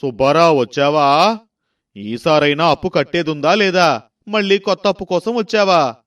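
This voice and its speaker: A man's voice: on the high side, normal speed, a bit bright, natural voice. Man playing a grudging village moneylender. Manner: grudging, needling. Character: gruff, sceptical male village moneylender. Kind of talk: storybook voice acting.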